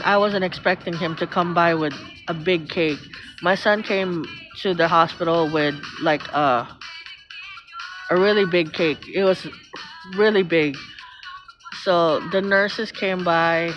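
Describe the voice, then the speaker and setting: A woman's voice: lowish voice, unhurried, fairly bright tone. Woman, middle-aged, Filipino accent, casual live-stream chat, rambling stories, phone mic outdoors, music behind. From Philippines. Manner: rambling storyteller, thanks viewers by name. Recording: slightly muffled stream audio with music. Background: background music.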